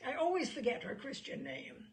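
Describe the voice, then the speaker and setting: A woman's voice: high voice, animated, normal speed, vocal fry, pitched above the performer's own voice. A woman voicing a bluff, hearty, chatty older male admiral in an audiobook, blustery and rambling with emphatic delivery. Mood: eager, confiding. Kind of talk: audiobook character dialogue.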